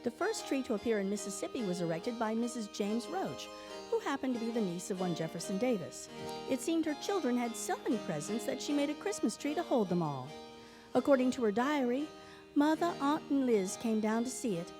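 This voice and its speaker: An actress playing a wry, affectionate wife who narrates Christmas history in a live stage show. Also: female voice, a little high, very animated, a little fast. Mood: storytelling. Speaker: mother and co-narrator. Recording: live stage recording under music.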